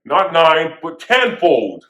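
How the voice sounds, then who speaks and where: A man's voice: on the high side, very animated, slow pace, fairly bright tone, lo-fi sound, heavily acted. A man playing a stern prophet who scolds his people, preaching in a casual, modern way full of slang. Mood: scolding. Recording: echoey room.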